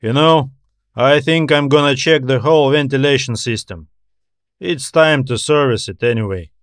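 A man voicing a gruff, evasive male space station mechanic in audiobook dialogue, offhand and hesitant. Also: medium pitch, moderate pace, dry recording, clean studio sound, natural voice.

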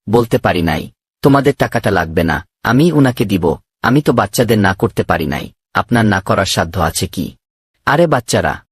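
Guy doing kind cartoon dad, husky voice, very animated, welcoming, wont take no for an answer. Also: mid-range voice, no echo. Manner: hospitable, gently insistent. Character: generous, warm-hearted middle-aged father and host. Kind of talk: children's moral cartoon dubbing.

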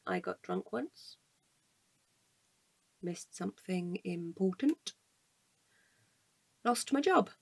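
A woman acting a weary, cautious night-watch guard in a naturalistic audio drama, with a husky, measured, deadpan delivery. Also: lowish voice, dry recording, full character voice. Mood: glum.

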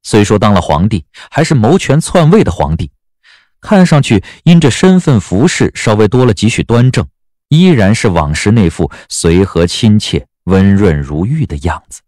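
A man's voice: lowish voice, sing-song, moderate pace, a little mellow, dry recording, clean studio sound. A man narrating a Chinese period novel as a dramatic scripted audiobook. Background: background music.

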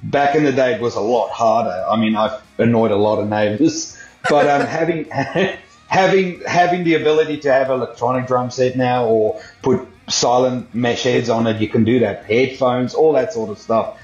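A man's voice: mid-range voice, moderate pace. A man from South Africa talks easily about his life as a drummer, loose and full of fillers. His voice comes through a video call with a roomy echo and a dull, muffled sound. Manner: relaxed, rambling, many fillers. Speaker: guest drummer. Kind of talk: casual interview chat.

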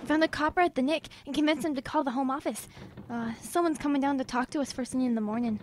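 A woman's voice: high voice, quick pace, music in the background, close to an everyday voice. A woman playing a plucky, eager wartime boy in an audio drama, speaking. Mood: breathless reporting.

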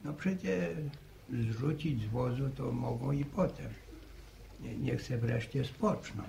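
An actor playing a gentle, folksy old farm grandfather who stammers and hesitates, in a vintage radio drama. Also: medium pitch, slow, creaky, slight background noise. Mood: mild. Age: older. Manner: hesitant, stammering, saying-quoting. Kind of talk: 1950s radio drama acting.

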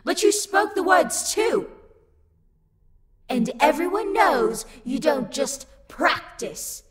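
Woman acting a high-pitched, livid, haughty demoness. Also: lively intonation, slow pace. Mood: exasperated, outraged. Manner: indignant, imperious, loud outbursts. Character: livid, haughty female demon, sassy and easily insulted. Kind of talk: dramatic roleplay monologue. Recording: long reverb, music behind the voice. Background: music.

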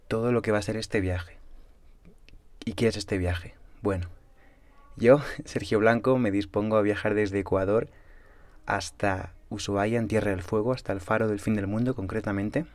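A young man from Spain with a Barcelona Spanish accent, telling his travel diary in a calm, thoughtful and personal way. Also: on the low side. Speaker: travel vlogger. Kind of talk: reflective travel diary narration. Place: small bedroom in a hostel.

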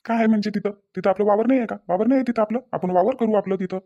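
In a dull, lo-fi recording, a man plays a worn-down cartoon husband with a high voice and a Varhadi Marathi accent. He talks quickly, reasoning and coaxing with repeated phrases. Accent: Varhadi Marathi of Vidarbha. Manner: repetitive, reasoning, persuasive. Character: earnest husband and dutiful son, coaxing, worn down. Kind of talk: cartoon dialogue. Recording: dull, lo-fi sound.

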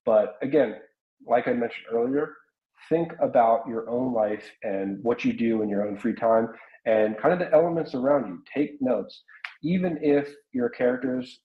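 An American man in his thirties explaining things informally as an art instructor in an online workshop, talking through examples. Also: medium pitch. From South Carolina, United States. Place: small home room, indoors. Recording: roomy, slightly dull webcam audio.

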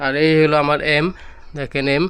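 A man's voice: fairly high pitch, little pitch movement, a little fast, a bit bright, clean tone. A man with a Bangladeshi accent giving an informal, repetitive product demonstration as a seller, pointing things out as he goes. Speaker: electronics seller demonstrating used audio gear.

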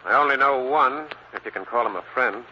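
An actor playing a defensive, irritable theatrical business manager in an old-time radio drama. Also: male voice, mid-range voice, fairly quick, lightly acted. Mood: wary, explaining. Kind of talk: 1940s radio drama acting. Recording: muffled, noisy old radio recording.

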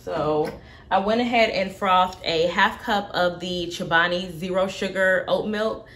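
A young woman vlogging from her home kitchen, talking through a coffee tasting in a relaxed way with plenty of fillers. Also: lowish voice, unhurried. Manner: relaxed, thinking aloud with many fillers. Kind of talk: casual tasting commentary.

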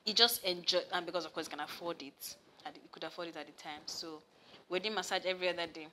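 A young woman with a Nigerian accent, talking in an interview on a studio set. Her voice sits low but sounds bright and forward. She tells her stories with animated bursts, and there is a faint hiss in the background. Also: quick pace. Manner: animated storyteller, rises loud and high when excited. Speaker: massage therapist, guest. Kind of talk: casual interview chat. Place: studio set with sofa, indoors.

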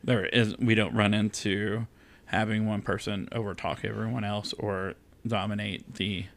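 A man's voice: on the low side, flat delivery, measured pace, gravelly. A man from the American South, a podcast guest talking candidly and with self-deprecating humor, using many fillers. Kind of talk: casual interview chat.

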